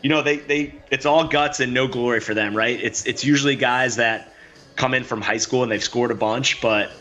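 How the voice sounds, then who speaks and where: A man's voice: medium pitch, fairly even intonation, normal speed, bright, forceful tone. A man giving color commentary on a lacrosse game, loose and rambling, with lots of "you know" fillers. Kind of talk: sports analysis.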